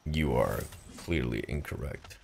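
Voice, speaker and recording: A man's voice: a bass voice, unhurried, creaky, noisy background. A man doing live gameplay commentary as a streamer, playful and freely swearing. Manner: animated, playful, profane. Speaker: VTuber game streamer.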